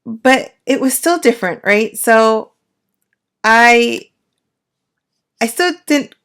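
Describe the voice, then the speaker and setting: A woman coach speaking reflectively to her viewers, her voice a little raspy from a cold. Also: a little high, animated. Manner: soft, unhurried and reflective, with many fillers. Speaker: astrology and life coach. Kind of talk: personal talk to camera.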